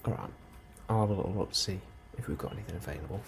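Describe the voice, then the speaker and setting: A man doing a soft, breathy ASMR role-play as an unhurried, politely understated officer interviewing a newcomer. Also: low-pitched. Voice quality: soft, breathy, often near whisper. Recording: steady ambient noise behind the voice. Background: computer and ambience sounds.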